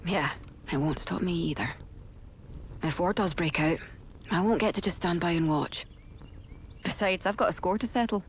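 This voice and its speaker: An actress playing a pragmatic, determined mercenary hunter in a video game cutscene, wry and matter-of-fact. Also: female voice, lowish voice, moderate pace, long pauses, a little hiss or hum, lightly acted. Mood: grim resolve.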